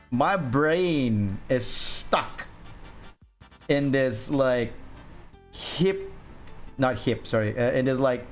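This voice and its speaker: A man's voice: extremely slow, pauses often, faint music behind. A man in his forties chatting casually on a livestream, rambling and laughing often. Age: 40s. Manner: rambling, laughs often, mimics people in a high voice. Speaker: VTuber streamer. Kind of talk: casual chat while drawing on stream.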